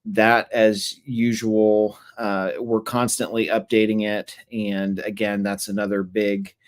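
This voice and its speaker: A man hosting a webinar in a casual, halting way, with many fillers and restarts, who is losing his voice. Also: fairly low pitch, flat delivery. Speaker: webinar host.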